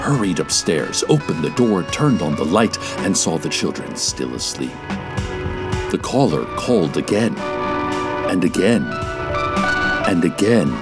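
A man playing a theatrical radio host who delivers spooky monologues in an expressive, deliberate manner. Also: sits low, over loud music. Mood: hushed, suspenseful. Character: spooky radio show host, chatty, theatrical, naively unbothered.

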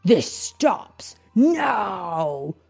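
A woman storyteller voicing an angry, determined male echidna hero who speaks in grave, forceful declarations. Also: deep voice, animated, slow, gravelly, husky, faint music behind, pitched below the performer's own voice, full character voice. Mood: furious.

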